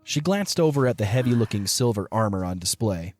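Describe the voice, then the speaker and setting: A man's voice: fairly low pitch, expressive, fairly quick. A man reading scripted narration for an audio story. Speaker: narrator of a fan audio drama. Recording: music under the voice. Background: music.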